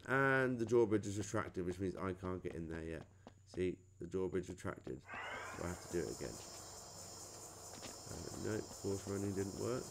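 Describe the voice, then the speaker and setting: A man's voice: sits low, moderate pace, noisy background. A man with a British accent giving a casual, chatty game walkthrough, thinking aloud as he plays. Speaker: gamer recording a playthrough.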